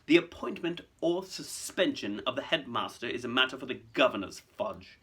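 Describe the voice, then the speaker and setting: A man voicing a cold, smug aristocratic villain with a lazy, smooth, mock-polite sneer, in a storybook. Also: a little high, animated, normal speed, a bit bright, pitched above the performer's own voice. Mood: silky menace.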